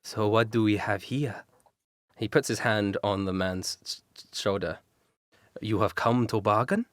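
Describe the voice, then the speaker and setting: A man voicing a tall humanoid blue jay man who leads a camp, speaking slowly and measuredly. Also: lowish voice, steady tone, dry recording, natural voice. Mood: calm.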